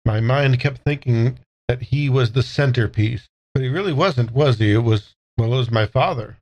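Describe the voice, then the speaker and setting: A man with a mid-pitched voice plays in a tabletop horror game. He speaks casually and conversationally, moving between describing his character and speaking as him. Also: moderate pace, lo-fi sound. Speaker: player in an actual play podcast.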